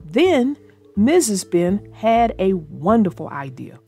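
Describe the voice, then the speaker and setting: A woman's voice: medium pitch, big pitch swings, takes it slowly, gravelly, warm tone, slightly rough, soft background music. A woman hosting a children's read-aloud, narrating a storybook and chatting casually about it.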